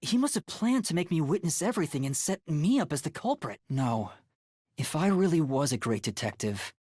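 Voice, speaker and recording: A man's voice: a little high, big pitch swings, a little fast, a little mellow, dry recording, studio quality. A man voicing an earnest, anxious young male trainee detective in an English video game, hesitant and thinking aloud. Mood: earnest, deducing.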